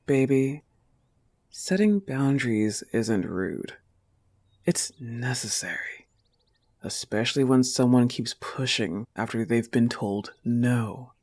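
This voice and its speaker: A man plays a goth wolf-girl girlfriend in an intimate audio roleplay. The delivery is unhurried and soft, often close to a whisper. The pitch sits at an average male level, with a tender, teasing and territorial manner. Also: expressive. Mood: firm, supportive. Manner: slow, soft-spoken, often dropping to breathy whispers.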